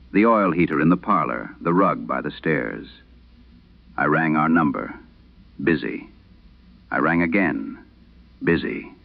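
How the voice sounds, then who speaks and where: A man with a very low voice narrates eerie tales as a late-night horror host. His delivery is solemn, measured and literary. The sound is thin and narrow like an old radio broadcast, with a very dry, close feel. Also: no echo. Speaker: horror audio drama host reading letters. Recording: band-limited, dry vintage radio sound.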